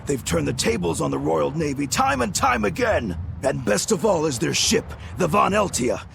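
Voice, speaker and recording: Game casting: jittery, boastful lizard-like demon sailor, very creaky, swinging widely in pitch. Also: male voice, big pitch swings, moderate pace, noisy background, full character voice. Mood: awed warning. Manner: dramatic, nervously boastful. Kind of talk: English video game voice acting.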